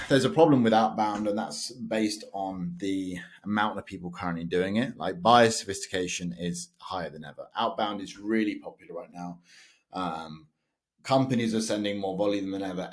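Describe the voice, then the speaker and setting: A young British man, a sales automation consultant, explaining his method to camera in a loose, conversational way with frequent fillers and self-corrections. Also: sits low. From United Kingdom.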